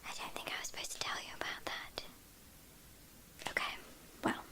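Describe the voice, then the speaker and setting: A woman's voice: bright, forceful tone, close to an everyday voice. A woman with a British accent playing a teasing, haughty vampire kidnapper in an ASMR roleplay, whispering breathily. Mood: confiding. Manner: teasing, mock-apologetic, unhurried.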